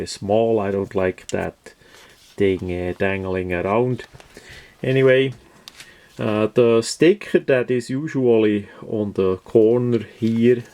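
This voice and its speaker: A man reviews a product in an unhurried, creaky voice. He is a non-native English speaker with a casual, unscripted style. His delivery is mellow and matter-of-fact, halting often to find the next word. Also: lowish voice. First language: not English.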